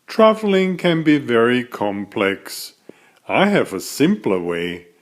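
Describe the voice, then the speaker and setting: A man reading a children's story aloud as a narrator, in a deliberate, dramatic storytelling manner. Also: medium pitch, sing-song. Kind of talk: expressive scripted storybook narration.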